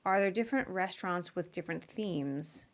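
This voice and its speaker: A woman's voice: fairly low pitch, normal speed. A woman hosting a podcast, interviewing a guest in a casual, chatty and informal way. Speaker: podcast host.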